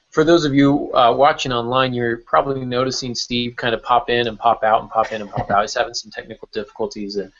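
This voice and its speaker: Male podcast host, medium pitch, casual roundtable delivery, slightly muffled online-call sound. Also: a bit bright. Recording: dull lo-fi online call audio.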